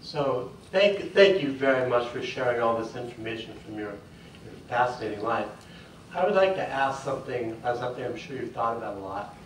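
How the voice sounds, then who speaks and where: A man's voice: medium pitch, lively intonation, normal speed, a little hiss or hum. Man audience member, polite and formal, heard from afar in an echoey room. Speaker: audience member asking a question. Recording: echoey hall, distant mic.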